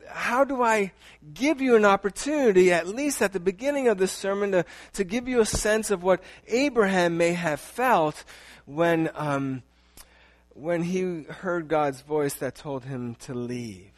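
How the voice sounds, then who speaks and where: A man preaching a sermon in a church, talking to his congregation in a loose, conversational way with frequent restarts. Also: sits high. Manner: conversational, halting with restarts, chuckling asides. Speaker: pastor. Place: church sanctuary.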